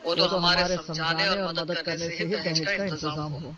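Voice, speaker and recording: A man acting as an earnest middle-aged father-in-law with a high voice. He is kindly and reassuring in a cartoon family drama, on a dull recording with a loud crowd behind. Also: steady tone, normal speed, lightly acted. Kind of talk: Hindi moral-story cartoon dialogue.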